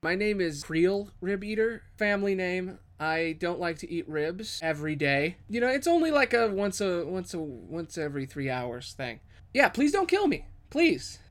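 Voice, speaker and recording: A man's voice: high voice, very animated, moderate pace. A man voicing a nervous, chatty female kobold thief in a tabletop game, stammering and bargaining casually. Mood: nervous pleading.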